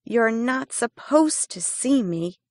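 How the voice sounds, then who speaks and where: A woman's voice: a little high, animated, slow pace, darkish tone, dry recording, clean studio sound. A woman voicing a small, cautious green frog in a storybook reading, wary and explaining.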